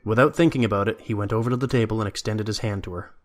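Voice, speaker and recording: A man's voice: fairly low pitch, rapid-fire. A man reading scripted story narration as the narrator of an audio drama.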